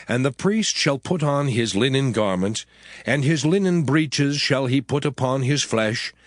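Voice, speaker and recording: A man reading scripture aloud in a measured, expressive way. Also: medium pitch, no room sound, studio quality. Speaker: scripture reader. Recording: voice over clear background music.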